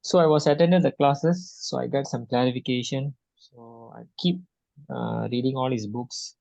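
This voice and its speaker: A man from Malaysia hesitantly sharing his experience in a coaching session, often restarting and filling pauses. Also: mid-range voice, fairly even intonation, gravelly, a little mellow, no room sound. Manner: hesitant, many restarts and fillers. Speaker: session participant. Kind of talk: halting personal sharing. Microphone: video call. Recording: dull lo-fi call audio.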